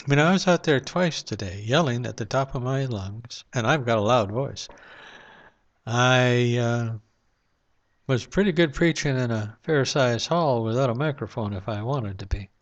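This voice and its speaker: A man speaks straight to his camera at home at night, rambling and reflective, with frequent "uh"s between thoughts. His voice sits at an ordinary middle pitch. The recording is dull and lo-fi. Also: animated, moderate pace. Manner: rambling, reflective, with many fillers. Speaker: vlogger. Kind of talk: casual monologue to camera. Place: home room at night, lamp-lit.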